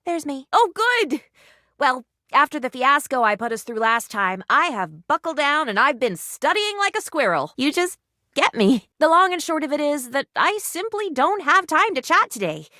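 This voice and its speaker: An actress voicing an earnest, anxious, bookish would-be doctor in a video game, with quaint, wordy, theatrical delivery. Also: female voice, sits high, big pitch swings, moderate pace, bright, forceful tone, no room sound, clean studio sound, exaggerated voice. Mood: eager, chatty.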